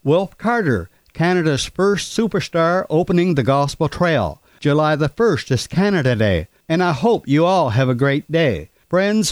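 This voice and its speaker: A man hosting a country and gospel radio program, reading his introductions in a steady, scripted way. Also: on the high side, dry recording, clean studio sound. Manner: steady, read-aloud delivery. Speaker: radio host.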